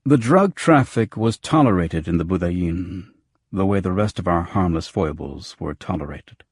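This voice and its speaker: A man's voice: low voice, expressive, normal speed, husky, no echo. A man reading scripted first-person noir fiction as an audiobook narrator.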